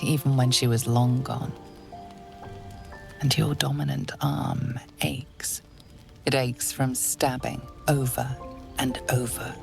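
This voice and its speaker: A woman playing an ominous, all-knowing narrator of a dark fantasy game, grave and theatrical. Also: very deep voice, creaky, full character voice. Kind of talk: second-person scripted game narration.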